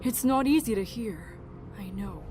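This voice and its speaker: A woman playing a frank, caring camp doctor in an audio drama, earnest and gentle with some hesitation. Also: medium pitch, lively intonation, unhurried, soft background music, natural voice. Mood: grave, sympathetic.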